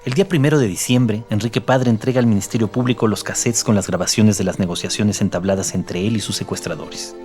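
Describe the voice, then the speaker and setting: A man's voice: fairly low pitch, fast, few pauses, a little mellow, soft background music. A man reading scripted narration for a documentary drama.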